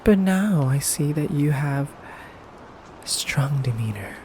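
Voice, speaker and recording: A man playing an aloof, condescending male vampire in an ASMR roleplay, measured and halting, often dropping toward a breathy whisper. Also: on the high side, expressive, darkish tone, slight background noise. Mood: coolly reproachful. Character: aloof, condescending, elegant femboy vampire interrogator. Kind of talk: slow, soft ASMR roleplay monologue.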